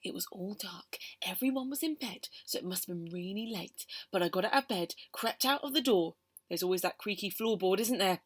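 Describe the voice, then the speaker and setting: A woman reading a children's poem aloud in a theatrical, expressive storytelling manner. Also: mid-range voice. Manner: theatrical, expressive, with hushed whispers and exclamations. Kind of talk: animated children's poem performance.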